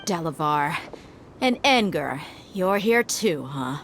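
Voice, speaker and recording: A woman's voice: low-pitched, animated, slow, a bit bright, a little hiss or hum, exaggerated voice. A woman voicing a confident female desert mercenary in a game cutscene, cocky and teasing. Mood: smug. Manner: cocky, teasing, laid-back. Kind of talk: video game cutscene dialogue.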